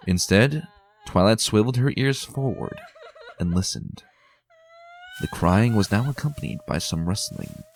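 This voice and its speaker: A man giving a dramatic, expressive reading of a fan story as narrator. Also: deep voice. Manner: expressive, tense and theatrical. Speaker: fan reader.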